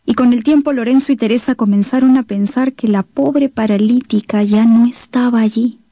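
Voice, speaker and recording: High-voiced woman narrating a radio drama. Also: dark tone, dry recording. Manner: measured, suspenseful. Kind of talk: scripted dramatic storytelling narration for radio novel.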